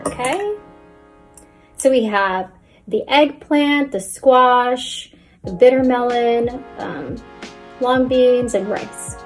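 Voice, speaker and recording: A woman's voice: fairly high pitch, slow pace, pauses often, faint music behind, poor audio. A young Filipino-American woman, a native Tagalog speaker, cheerfully talking viewers through a cooking demonstration step by step. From Philippines. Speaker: cooking channel host.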